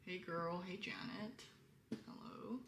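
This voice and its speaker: A young woman hosting a casual live stream, chatting to viewers in a candid, rambling way with a lowish voice. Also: takes it slowly, creaky. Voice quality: lower-toned voice. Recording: roomy echo, faint background noise.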